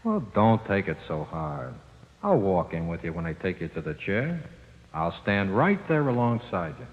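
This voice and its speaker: An actor playing the sinister, coaxing ghost of a convict, slangy and matter-of-fact, in 1940s radio drama with a reverb effect. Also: male voice, low voice, sing-song, normal speed. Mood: grim, ominous. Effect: long ghostly reverb. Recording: very muffled old radio, long reverb.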